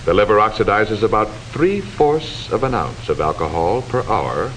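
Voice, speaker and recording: A man delivering formal, measured, instructive scripted narration in the style of a 1940s educational film, on a hissy old soundtrack. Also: fairly low pitch, sing-song, fairly bright tone, low-quality recording.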